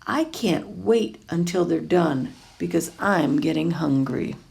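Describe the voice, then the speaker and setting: A woman reads a children's storybook aloud with a strongly creaky, fry-edged voice. Her delivery is unhurried and expressive, with pitch that moves up and down freely as she tells it and explains things along the way. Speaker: storybook reader, teacher. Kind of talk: read-aloud of a children's picture book.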